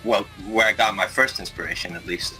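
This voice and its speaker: Soft-spoken Danish man, low voice. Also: brisk, faint music behind, rough recording. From Denmark. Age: 30s. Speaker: band member.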